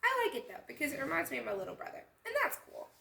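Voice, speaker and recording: A woman's voice: high-pitched, huge pitch swings, very fast, gravelly, echoey room, noisy background, voice pushed up, heavily acted. A woman voicing an adventurous, sporty little girl from a picture book, plucky, breezy and casual. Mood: chatty. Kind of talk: picture-book read-aloud character.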